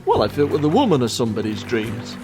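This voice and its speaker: A man's voice: medium pitch, huge pitch swings, quick pace, music in the background. A man playing a grumbling, henpecked husband hawking fish on a beach in an amateur radio play. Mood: matter-of-fact.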